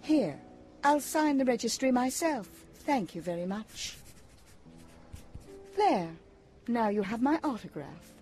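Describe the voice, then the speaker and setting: A woman's voice: on the high side, sing-song, slow, pauses often, a little mellow, soft background music. A woman playing a vain, haughty stage actress in an audio drama, grand and condescending in manner. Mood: imperious, self-satisfied.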